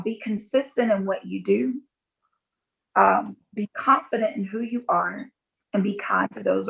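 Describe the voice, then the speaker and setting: A woman's voice: mid-range voice, creaky. A woman giving an earnest, encouraging motivational talk to close a founders' session. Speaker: speaker. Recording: tinny phone-like call audio.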